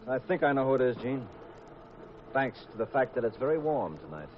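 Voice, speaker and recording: Guy as cool confident old-time radio sleuth husband, clipped talk, medium pitch, muffled and really noisy audio. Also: very animated, brisk, close to an everyday voice. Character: terse, confident husband turned amateur detective. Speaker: lead actor in a radio mystery. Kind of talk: 1950s radio acting.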